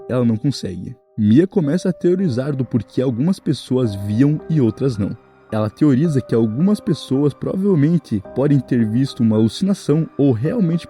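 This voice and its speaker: A man's voice: medium pitch, mellow. A man with a Brazilian Portuguese accent narrates a horror story in a suspenseful way, speaking directly to the listener. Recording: music behind the voice. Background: background music.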